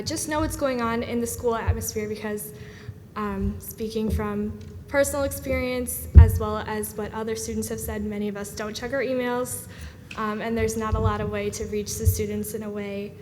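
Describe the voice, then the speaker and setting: A young woman in her late teens, the student association president, giving an earnest, steady report to a school board with frequent "um" pauses. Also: mid-range voice. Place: school board meeting room. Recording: reverberant room with steady background noise.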